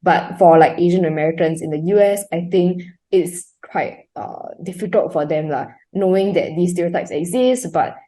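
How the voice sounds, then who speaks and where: A young woman speaks with a Singaporean English accent in a relaxed interview. Her voice sits low and mellow, and she rambles casually with plenty of "like" fillers. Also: normal speed, few pauses. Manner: chatty, many "like" fillers, light laughs.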